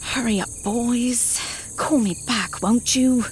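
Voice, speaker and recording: An actress playing an impatient, exasperated psychic, muttering fretfully in an audio drama. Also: female voice, a little high, slow, slight background noise. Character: impatient, exasperated female psychic stranded in woods. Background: crickets and faint music.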